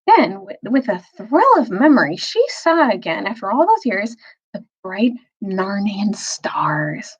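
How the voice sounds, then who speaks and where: Expressive woman storyteller with a husky voice. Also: mid-range voice, unhurried, dull audio. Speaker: storyteller, teacher reading aloud. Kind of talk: expressive children's novel read-aloud.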